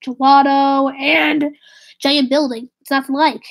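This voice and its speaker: A woman live streaming and chatting casually with viewers, slightly raspy with a stuffy nose from a cold, rambling and correcting herself. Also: high voice, little pitch movement, measured pace. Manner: rambling, self-correcting, drifts to a whisper.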